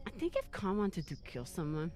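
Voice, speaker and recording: A woman's voice: fairly low pitch, big pitch swings, normal speed, darkish tone, faint music behind. An actress playing a guarded, blunt woman captain in a dramatic sci-fi video game. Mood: dry, blunt. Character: guarded, stern, blunt female space captain.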